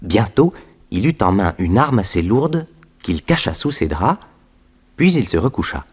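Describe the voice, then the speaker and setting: A man's voice: lowish voice, very animated, measured pace, slightly rough, exaggerated voice. A man narrating a 1960s French radio detective serial in a dramatic scripted storytelling style. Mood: measured, suspenseful.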